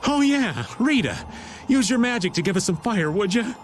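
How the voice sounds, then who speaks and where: An actor playing an easygoing, joking middle-aged game character with a colloquial, playful drawl and comic complaining. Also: male voice, sits high, big pitch swings, normal speed, a little hiss or hum. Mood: whiny, pleading. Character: joking, easygoing middle-aged man, self-styled old-timer. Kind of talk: animated game voice acting.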